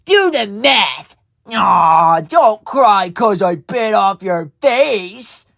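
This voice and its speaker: A woman's voice: medium pitch, animated, very slow, creaky, a bit bright, no echo, clean studio sound, heavily acted. A woman voicing a bratty, taunting child-like balloon animatronic in a horror game, cheeky and gleefully mean.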